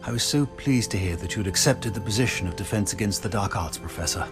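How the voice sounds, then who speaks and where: A man's voice: on the low side, quick pace, lightly acted. A man playing a courteous, curious wizard professor in a dramatic video game scene. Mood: polite, intrigued. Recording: orchestral music behind the voice.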